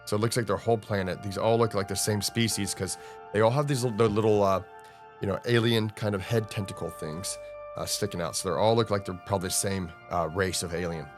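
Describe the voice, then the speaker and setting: A man doing casual, excited play-by-play narration of comic panels, informal and dramatic, as a read-along host. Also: brisk. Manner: informal, dramatic, with interjected booms. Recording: music under the voice. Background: music.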